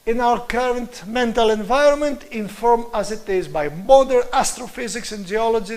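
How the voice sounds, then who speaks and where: A middle-aged man with a Greek accent giving a religious lecture on scripture in halting English, emphatically repeating key words. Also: sits high. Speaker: Bible teacher giving a presentation.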